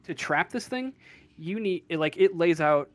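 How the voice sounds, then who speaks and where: A man working as game master, improvising narration for a tabletop role-playing game in a halting way, searching for words. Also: fairly high pitch, expressive, no room sound.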